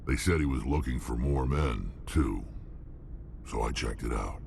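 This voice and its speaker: An actor playing a gruff, hulking alien mercenary in a video game, blunt and menacing, with a gravelly rumble. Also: male voice, expressive, unhurried, a little mellow, full character voice. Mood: grim recollection. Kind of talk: video game character dialogue.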